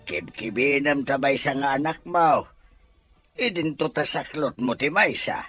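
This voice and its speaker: A man playing a husky, smooth-talking cheating husband. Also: medium pitch, measured pace, bright, forceful tone, soft background music. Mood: evasive. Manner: evasive, sweet-talking. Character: cheating middle-aged husband, evasive and smooth. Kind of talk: Ilocano audio drama acting.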